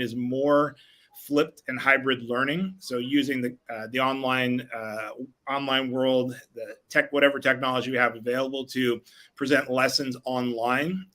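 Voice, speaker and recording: A man's voice: medium pitch, sharp tone. A middle-aged man, a physical education teacher, speaking reflectively in a panel discussion and rephrasing as he goes.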